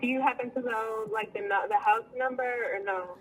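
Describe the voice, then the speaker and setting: A woman's voice: fairly high pitch, fairly even intonation, a little fast. A woman chatting casually on the phone, polite, agreeable and unruffled. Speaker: property owner answering a phone call. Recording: noisy phone-call recording.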